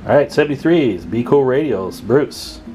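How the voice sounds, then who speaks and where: A man's voice: medium pitch, expressive, normal speed, over music. A man hosting a casual hands-on tutorial as a radio hobbyist, explaining informally step by step at an indoor workbench. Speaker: radio repair hobbyist, video host.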